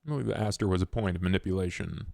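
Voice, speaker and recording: Guy doing a loyal vampire gent, really low gravelly voice, formal and measured, reflective, rpg podcast. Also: dark tone, dry recording, clean studio sound. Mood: businesslike. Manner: measured, formal, courtly wording. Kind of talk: tabletop roleplay podcast acting.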